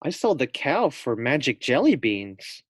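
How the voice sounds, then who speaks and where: A man's voice: medium pitch, sing-song, measured pace, dry recording, clean studio sound. A man voicing a hopeful, naive boy hero in a storybook fairy tale, simple and sincere.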